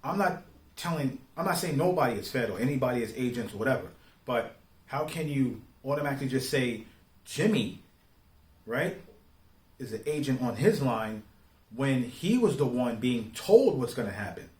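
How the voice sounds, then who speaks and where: A man giving informal opinion commentary in street slang, speaking emphatically and deliberately, often putting rhetorical questions to the listener. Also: mid-range voice, expressive, darkish tone. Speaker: online commentator. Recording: roomy, echoing sound.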